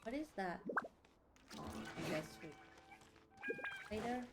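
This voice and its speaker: A woman's voice: sits high, wildly swooping pitch, takes it slowly. A young woman, chatting playfully and exclaiming as she live-streams a game. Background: game music.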